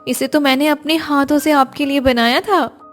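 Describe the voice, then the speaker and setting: A woman voicing a crafty, sweet-talking married neighbour in an animated family story, gushing and familiar. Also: high voice, moderate pace, faint music behind, lightly acted. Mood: sweet, wifely.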